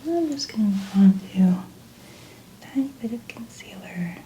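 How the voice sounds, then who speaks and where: A woman with a dark, relaxed voice speaks in soft, breathy near-whispers. She talks through each small step in a gentle, unhurried ASMR style. A light ambient noise sits behind her. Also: mid-range voice. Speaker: ASMR host doing a makeup application. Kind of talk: soft-spoken ASMR roleplay.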